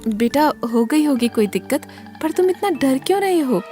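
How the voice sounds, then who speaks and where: A woman voicing a concerned, reassuring mother of a young boy in an animated horror story. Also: high voice, moderate pace, soft background music, pitched above the performer's own voice. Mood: reassuring, puzzled.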